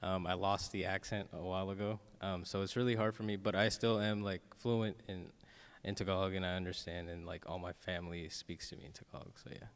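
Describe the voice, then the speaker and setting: A man from the Philippines with a low, smooth voice answers questions on a school stage, hesitant and filling gaps with ums. The handheld mic carries long hall reverb. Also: fairly even intonation, a little fast. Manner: hesitant, many ums, soft laughs. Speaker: teacher, club sponsor.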